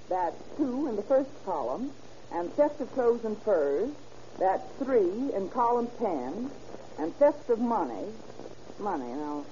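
A muffled old radio recording with faint noise carries a confident American woman records clerk. Her pitch swings lively over a mellow tone, and she explains briskly, like a born detective. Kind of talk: old-time American radio drama acting.

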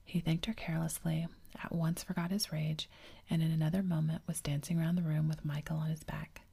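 A woman narrating a classic storybook in a steady whisper, like an ASMR reading. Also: low-pitched, fairly quick, vocal fry, breathy edge. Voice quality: whispering throughout.

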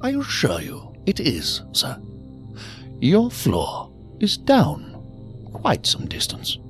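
A man's voice: a little high, wildly swooping pitch, slow, faint music behind, full character voice. A man playing an eerie, urbane elevator attendant in a science fiction audio drama, with ornate, mock-courteous phrasing. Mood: teasing, officious. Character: eerie, urbane male elevator attendant in green suit, menacingly polite.

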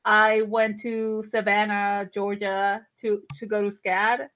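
A woman's voice: mid-range voice, little pitch movement, slow pace. A woman, chatting as an interview guest with lots of fillers and restarts. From Colombia. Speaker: guest artist. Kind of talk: casual interview chat. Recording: tinny phone-like line.

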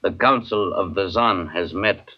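An actor playing a coldly logical immortal alien in a 1950s radio drama, speaking in formal, deadpan pronouncements. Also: male voice, deep voice, measured pace. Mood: cold, menacing. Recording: muffled vintage radio recording.

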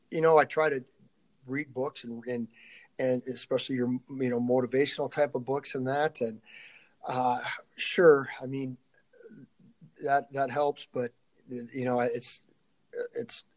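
A middle-aged man answers interview questions as a coach would in casual conversation. His pitch is average for a man, and he speaks haltingly, working out his thoughts as he goes and letting phrases trail off. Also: little pitch movement. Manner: halting, thinking aloud, trailing off. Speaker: college strength and conditioning coach being interviewed.

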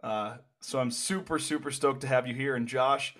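A man's voice: mid-range voice, fairly even intonation, normal speed, fairly bright tone. Laid-back man hosting a podcast. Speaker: podcast host. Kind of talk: casual interview.

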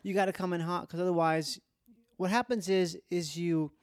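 A man's voice: sits high, steady tone, moderate pace, smooth, dry recording, studio quality. Casual male podcast host. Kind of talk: casual comedic chat.

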